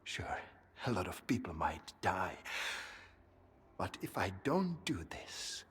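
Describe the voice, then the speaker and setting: An actor playing a devout, resigned cult fanatic in a video game, speaking solemnly and fervently, at times hushed. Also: male voice, low voice, big pitch swings, a little hiss or hum, exaggerated voice. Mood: grave, hushed. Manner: slow, solemn, fervent, dropping into whispers.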